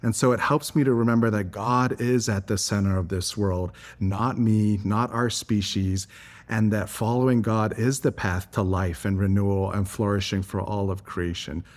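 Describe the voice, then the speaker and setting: Earnest, articulate man with a lowish voice. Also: fairly even intonation, normal speed. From Singapore. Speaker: interview guest, environmental advocate. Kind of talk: reflective conversational interview answers.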